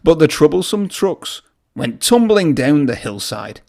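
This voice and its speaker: Slow, animated male storybook reader. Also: a little high, vocal fry. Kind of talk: children's read-aloud story narration.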